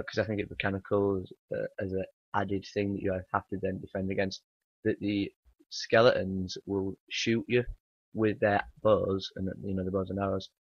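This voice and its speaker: A man with a croaky morning voice, rambling through casual gameplay commentary with many ums and uhs. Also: sits low, dry recording. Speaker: gaming channel host. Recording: dry, dull lo-fi home mic.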